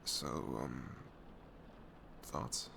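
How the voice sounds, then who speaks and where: A man playing a tender, nervous best friend in an intimate ASMR roleplay, speaking softly and hesitantly in a low, gravelly voice close to the mic. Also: extremely slow, noisy background. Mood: nervous, earnest. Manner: hesitant, stammering, soft-spoken.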